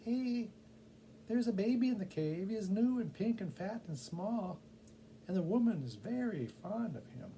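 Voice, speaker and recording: A man voicing a small, eager, gossiping cave bat in a storybook reading, announcing news excitedly. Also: high-pitched, normal speed, slight background noise, voice pushed up, low-quality recording. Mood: excited, gossipy.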